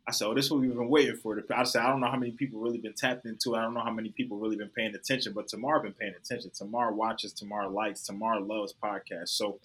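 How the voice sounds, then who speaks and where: A man's voice: on the low side, level tone, quick pace, hardly any pauses, fairly bright tone, slightly rough, poor audio. A young adult man hosting a podcast in a casual, upbeat, slangy way. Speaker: podcast host.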